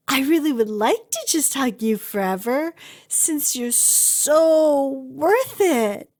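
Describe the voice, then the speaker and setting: A woman's voice: sits high, heavily acted. A woman playing an obsessive, doting, clingy kidnapper in an ASMR roleplay, with a gushing, coaxing delivery. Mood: adoring, gushing. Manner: gushing, coaxing, dramatic emphasis.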